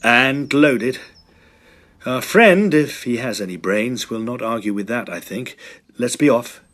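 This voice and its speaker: A man playing a determined, agitated young gentleman in a Victorian horror drama, speaking earnestly and emphatically in formal phrases. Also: mid-range voice, lively intonation, unhurried. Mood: brisk, commanding.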